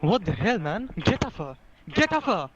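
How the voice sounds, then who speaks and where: A man's voice: high voice, very animated, moderate pace, a loud crowd. A man with an Indian accent playing a casual, blunt young friend in an amateur radio play. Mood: alarmed. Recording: muffled, very noisy recording.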